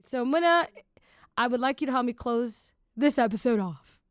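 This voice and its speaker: A young woman hosts a podcast in a fairly high, lively voice, recorded close and dry. She talks in an animated, rambling way, tossing in little asides as she goes. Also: moderate pace, clean tone, no room sound. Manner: animated, warm, rambling host. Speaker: podcast host. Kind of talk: casual podcast chat.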